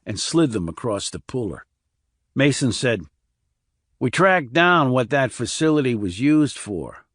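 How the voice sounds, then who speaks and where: A man's voice: mid-range voice, no room sound, clean studio sound. A man reading a thriller audiobook as a scripted narration, moving between narrative and dialogue.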